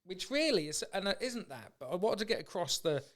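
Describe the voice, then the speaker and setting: A man's voice: high-pitched, big pitch swings, brisk. A man hosting a podcast in casual chat, with an informal, matey way of talking. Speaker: podcast host.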